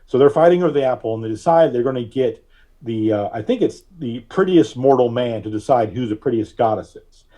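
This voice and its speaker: A man being interviewed as a filmmaker, telling stories in an explanatory, conversational way with drawn-out pauses. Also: medium pitch, expressive, mellow. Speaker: guest, filmmaker.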